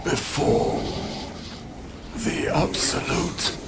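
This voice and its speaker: A man playing a reanimated raider's corpse in a fantasy game, empty and strained, speaking haltingly one word at a time. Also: very noisy. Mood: lifeless, halting.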